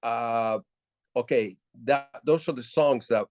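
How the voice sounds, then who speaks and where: Low-voiced older man from Puerto Rico. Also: a little mellow, no echo. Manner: halting, many restarts and fillers. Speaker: guest musician. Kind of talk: casual interview storytelling.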